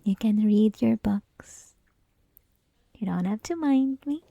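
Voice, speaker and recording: A woman's voice: mid-range voice, expressive, slow, dark tone, no room sound, dull audio, exaggerated voice. A woman playing a flirty, possessive college classmate who teases the listener in an intimate, mostly whispered ASMR roleplay. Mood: flirty teasing. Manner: teasing, breathy, mostly whispered, giggly.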